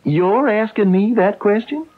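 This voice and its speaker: An actor playing a cocky, power-hungry small-time schemer in a radio drama, with a smug, folksy, wheedling manner. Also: male voice, high-pitched, expressive, unhurried. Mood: grandiose. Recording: muffled old radio broadcast recording.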